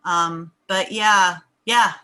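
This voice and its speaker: A woman's voice: fairly low pitch, steady tone, very slow, sharp tone. A woman screenwriter speaking casually and effusively on a video call, full of appreciation. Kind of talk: casual group chat.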